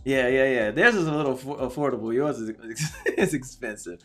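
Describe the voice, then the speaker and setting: A man's voice: medium pitch, brisk, fairly bright tone. A man hosting a casual talk show interview, chatty and hyped, repeating himself often.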